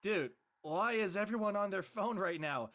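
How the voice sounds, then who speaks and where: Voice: mid-range voice, lively intonation, normal speed, a bit bright, lightly acted. An actor voicing an embarrassed, exasperated teenage boy in a comedy drama, whiny and indignant. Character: embarrassed, exasperated teenage boy who became a viral meme. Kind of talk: comedic scripted audio drama dialogue.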